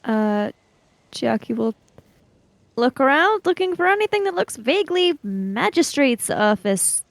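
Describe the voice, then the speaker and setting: A woman playing a tabletop roleplaying game, speaking in character with careful, formal, halting phrases. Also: high-pitched, very animated, gravelly, dry recording.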